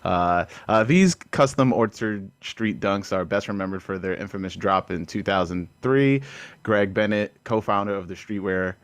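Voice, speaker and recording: A man's voice: fairly low pitch, moderate pace, dry recording. A man hosting a podcast in casual chat, laid-back and stumbling as he reads aloud. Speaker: podcast host.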